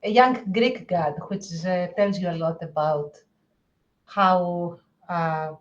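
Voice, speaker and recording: A woman's voice: lowish voice, takes it slowly, lo-fi sound. A woman co-hosting a podcast, chatting casually in a hesitant, self-deprecating way. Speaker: podcast co-host. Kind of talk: casual chat.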